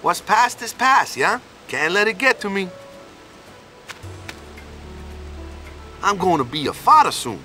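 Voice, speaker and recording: Bright, folksy island guy. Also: high voice, very animated, moderate pace, soft background music, exaggerated voice. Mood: resolute but uneasy. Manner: folksy and casual, tags sentences with "yeah?" and "you know?". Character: burly, boneheaded, good-hearted island man, soon a father. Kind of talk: video game voice acting.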